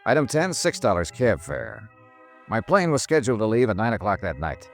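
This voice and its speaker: An actor playing a world-weary insurance investigator in a 1950s radio detective drama, grim and clipped with brooding pauses. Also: male voice, lowish voice, very animated, creaky. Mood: dry narration. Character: world-weary male insurance investigator, grimly narrating his case. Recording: vintage radio drama with music underneath.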